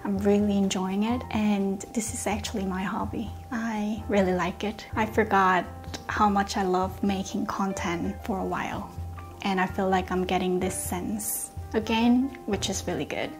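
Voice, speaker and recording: A woman's voice: mid-range voice, fairly even intonation, normal speed, soft background music. A young woman, talking candidly to her camera in a casual vlog, slipping into whispered asides. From South Korea. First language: Korean. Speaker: vlogger. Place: home in the UK. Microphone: camera mic.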